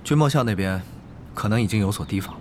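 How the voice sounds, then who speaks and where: A man acting a soft-hearted, conflicted guild officer in a Chinese radio drama, with a hesitant manner. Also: on the low side, quick pace, background noise, natural voice. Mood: uneasy. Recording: background music under the voice.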